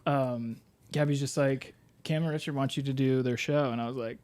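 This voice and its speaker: A man's voice: mid-range voice, fairly even intonation, normal speed, a little mellow. A young man who is the guest on a podcast, laid-back and joking in casual chat.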